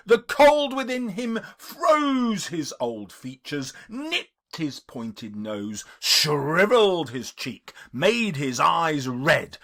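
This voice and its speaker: A male audiobook narrator with a fairly high, very expressive voice. He reads literary prose theatrically, taking his time and leaning on key words with emphasis. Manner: theatrical, emphatic, measured phrasing. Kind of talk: dramatic scripted literary narration.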